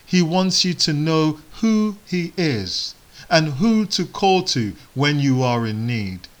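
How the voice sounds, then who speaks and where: A man whose first language is Igbo, giving a sermon in slow, measured phrases with solemn pauses. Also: fairly high pitch, lively intonation. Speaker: preacher.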